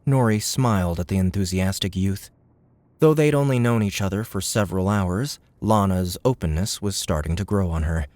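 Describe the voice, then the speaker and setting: Deep-voiced male audiobook narrator. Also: normal speed, a little mellow, no echo. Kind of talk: scripted fiction narration.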